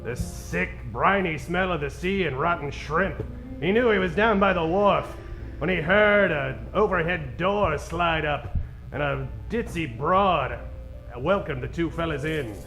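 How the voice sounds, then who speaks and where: A man's voice: a little high, measured pace, a bit bright, faint music behind, poor audio. A man playing the wry narrator of an old-time radio detective parody, delivering scripted noir narration.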